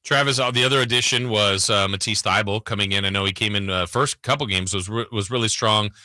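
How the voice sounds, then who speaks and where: A man's voice: fairly low pitch, fast talker, bright, forceful tone, no room sound, studio quality. A man hosting a sports radio show, putting casual questions to a guest.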